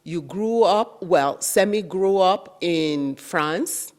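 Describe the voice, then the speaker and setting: A woman's voice: on the low side, slow, darkish tone. A woman hosting an interview, speaking in a hesitant way with many fillers as she sets up questions for her guest. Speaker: host. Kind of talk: interview conversation.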